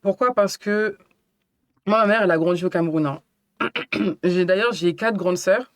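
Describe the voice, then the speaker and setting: A woman's voice: lowish voice, moderate pace, no room sound. French woman chatting in a very dry recording. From France. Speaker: podcast guest. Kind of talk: casual interview chat.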